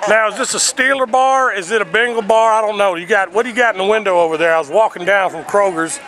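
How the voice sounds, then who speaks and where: A man's voice: high voice, quick pace, bright, forceful tone. A man in his thirties putting on a brash, bossy, rambling prank caller who badgers and throws absurd insults. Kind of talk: improvised prank phone call. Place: outdoors on a city sidewalk. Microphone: handheld camera up close. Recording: noisy outdoor street with traffic.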